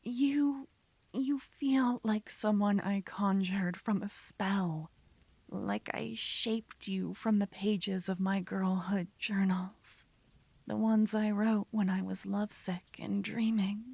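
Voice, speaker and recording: Woman acting breathy, tender lovestruck mage, very close. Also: mid-range voice, steady tone, slow, dry recording, heavily acted. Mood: adoring. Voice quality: soft, breathy, often whispering. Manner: tender, coaxing, poetic. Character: lovestruck young female mage soothing her upset lover. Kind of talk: intimate romantic ASMR roleplay.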